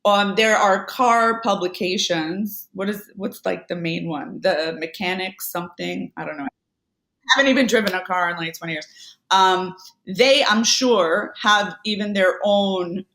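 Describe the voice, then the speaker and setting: A talkative woman on a podcast, chatting easily with asides and quick self-corrections. Her voice sits at an ordinary middle pitch. The room around her rings with noticeable echo. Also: a bit bright. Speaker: podcast guest, Amazon seller. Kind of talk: casual conversational interview.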